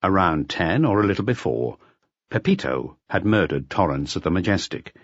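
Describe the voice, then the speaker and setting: A man narrates a detective audiobook in a fairly low voice with lively, varied intonation. The recording is dry and close, though a little muffled. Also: moderate pace, slightly rough, no echo. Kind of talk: scripted narration of a detective novel.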